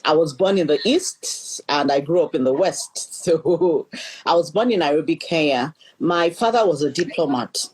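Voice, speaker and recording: A woman's voice: sits low, normal speed, a little mellow, slightly rough, rough recording. A middle-aged Nigerian woman, a leadership coach, earnestly telling her life story as a podcast guest and often repeating words as she thinks. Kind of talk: storytelling in an interview.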